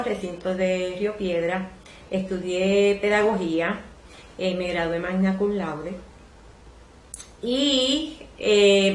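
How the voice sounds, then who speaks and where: A Puerto Rican woman in her sixties recalls her career as a librarian in a polite, measured, reflective way during an oral history interview. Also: mid-range voice. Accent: Puerto Rican Spanish. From Puerto Rico. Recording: echoey room.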